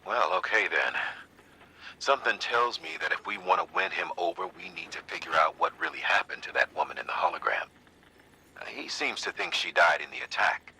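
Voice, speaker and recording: An actor playing a seasoned, supportive mission commander in a video game, briefing in a plain-spoken, folksy way. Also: male voice, low-pitched, expressive, a little fast, gravelly, sharp tone, a little hiss or hum, heavily acted, rough recording. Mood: thoughtful.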